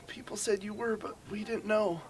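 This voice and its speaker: A woman's voice: mid-range voice, fairly even intonation, normal speed, a little mellow, lightly acted. A woman playing an awestruck, hopeful wounded civilian in an amateur radio drama. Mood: awed, hushed. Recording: distant from the microphone.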